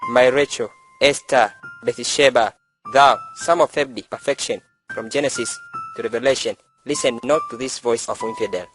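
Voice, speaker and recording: A man's voice: mid-range voice, normal speed, natural voice, low-quality recording. A man playing a pedantic, lovesick young village schoolteacher in a student stage drama, speaking in a bookish, theatrical way. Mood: ardent, pleading. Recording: loud music under the voice.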